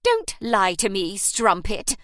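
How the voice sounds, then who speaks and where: A woman voicing a spiteful, shrieking woman employer who scolds loudly in an audiobook. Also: huge pitch swings, slow pace, no echo, studio quality, exaggerated voice. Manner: loud, spiteful, shrill scolding.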